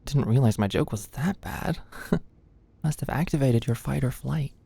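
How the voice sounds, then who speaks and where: A woman playing an anxious, sweet and awkward young female medical student in a soft, intimate ASMR roleplay, with a hesitant manner. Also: a bass voice, moderate pace, creaky, husky, heavily acted. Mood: awkwardly joking. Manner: nervous, hesitant, stammering.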